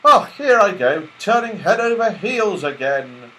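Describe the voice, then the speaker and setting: A man's voice: high voice, sing-song, measured pace, sharp tone, slight background noise, voice pushed up, full character voice. A man voicing an adventurous young boy in a children's storybook, breezy and full of exclamations. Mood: cheerful.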